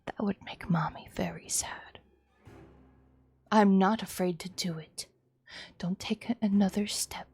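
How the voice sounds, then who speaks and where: A woman's voice: mid-range voice, unhurried, long pauses, a little mellow, slightly rough, whispered, faint music behind. A woman voicing a maniacal, doting mother in a visual novel, theatrical, sweetly coaxing and threatening. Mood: menacing. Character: maniacal doting mother, cloyingly cheerful and menacing.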